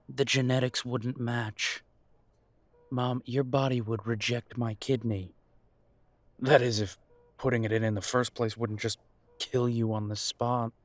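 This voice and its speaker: A man's voice: medium pitch, no echo. A man playing a wounded, bitter werewolf son in an audio drama, guarded and weary with a sarcastic edge. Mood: firm, bitter. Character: bitter, wounded adult werewolf son confronting his parents. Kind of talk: audio roleplay drama acting.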